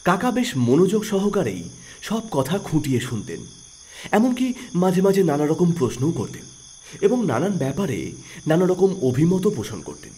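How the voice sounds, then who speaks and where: A man narrating an audio story in an expressive storytelling manner. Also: a little high, moderate pace, a little hiss or hum. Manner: expressive, rising and falling storytelling.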